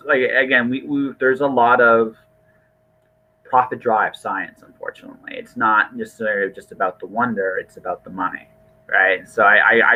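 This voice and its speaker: Guy hosting a podcast, lowish voice, sounds like a phone line, keeps restarting and rewording stuff. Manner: hesitant, repeats and rephrases himself, hedging. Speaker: podcast host. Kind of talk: casual podcast conversation. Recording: thin, band-limited phone-like call audio.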